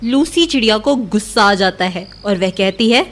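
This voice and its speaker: A woman's voice: medium pitch, moderate pace, a bit bright, slight background noise. A woman narrator reading a scripted children's moral story.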